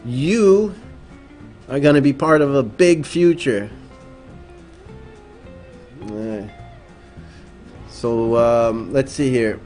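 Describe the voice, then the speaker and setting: A man's voice: medium pitch, extremely slow, pauses often, a little mellow. Rambling male livestream host, faint music behind. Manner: rambling, many fillers, reads chat aloud. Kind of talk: casual late-night livestream chat. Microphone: desk microphone.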